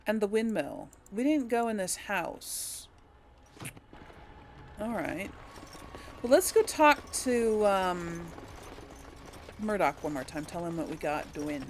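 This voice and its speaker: A woman's voice: medium pitch, lively intonation, takes it slowly, long pauses, a little hiss or hum. A woman giving casual role-play video game commentary, thinking aloud in a hesitant, conversational way. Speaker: gaming video host and player.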